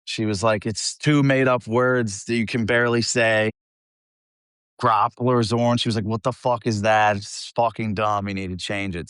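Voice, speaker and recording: Low-pitched American man, blunt and informal. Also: a bit bright, no echo, studio quality. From eastern Pennsylvania, United States. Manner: blunt, profane, informal, slangy. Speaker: metal band vocalist, interview guest. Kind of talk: casual chat, anecdotal storytelling.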